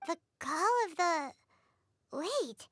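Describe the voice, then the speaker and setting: A woman voicing a tiny, excitable, childlike fairy companion in a video game, squeaky, naive and exclamatory. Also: extremely slow, slight background noise, heavily acted. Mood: baffled. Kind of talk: scripted video game voice acting.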